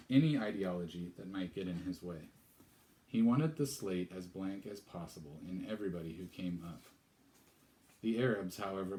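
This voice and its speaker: A man reading a novel aloud, pausing for relaxed, chatty asides. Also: low-pitched. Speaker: storyteller. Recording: echoey room.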